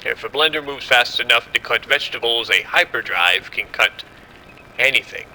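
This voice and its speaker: A man playing a confident scientist in an audio drama, explaining in a measured way. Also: mid-range voice, bright, forceful tone, slight background noise, poor audio. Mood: assured, explanatory. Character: confident male scientist running a secret military experiment.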